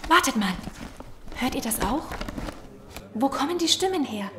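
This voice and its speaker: Woman playing high-voiced, frightened but resolute young defector. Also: lively intonation, unhurried, fairly bright tone. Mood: tense, worried. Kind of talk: German audio drama acting. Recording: echoey room with background noise.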